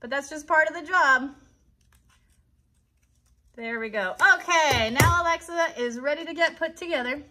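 High, bright American woman hosting kids' crafts. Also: moderate pace, pauses often. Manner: encouraging, chatty, talking directly to children. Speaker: children's craft host and puppeteer. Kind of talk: live craft tutorial for children. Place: child's bedroom set indoors.

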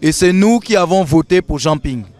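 Man in his 30s, activist giving a firm street statement, smooth and resonant. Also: fairly high pitch, lively intonation, normal speed, a little mellow. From Gabon. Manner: firm, insistent, repeats his points. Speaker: activist interviewee. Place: city street at night, outdoors. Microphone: handheld reporter mic.

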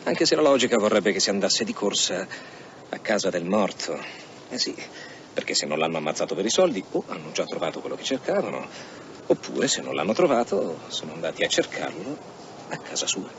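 An actor playing a keen, quietly persistent police detective in a radio drama, inquisitive and tentative as he reasons. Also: lowish voice, big pitch swings, a little fast. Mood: reasoning. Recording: muffled radio drama with background noise.

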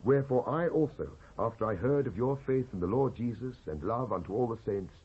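A man's voice: mid-range voice, expressive, brisk, slightly rough. A British man giving a solemn, declamatory dramatised reading from the Bible. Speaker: Bible reader. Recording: muffled old cassette transfer.

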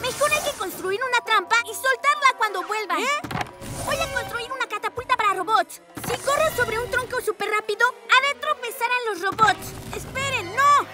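A woman voicing a bossy, excited young boy hero in a cartoon. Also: extremely high pitch, normal speed. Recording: loud music behind.

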